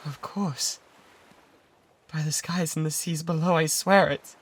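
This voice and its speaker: A man's voice: fairly high pitch, fairly even intonation, measured pace. A British man playing an obsessive, lovesick pirate in a romantic ASMR roleplay, pleading fervently and breathily, close to a binaural microphone. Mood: reverent, desperate. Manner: fervent, breathy pleading that often drops toward whispers. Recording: noticeable background noise and ambience.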